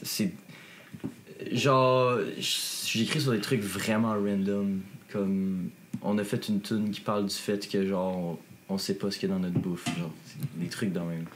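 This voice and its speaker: A man's voice: on the low side, level tone, background noise. A Québécois man from a punk band, speaking earnestly in a casual interview, full of fillers. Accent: Québécois French. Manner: earnest, filler-heavy, quick bursts. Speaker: punk band member, guest.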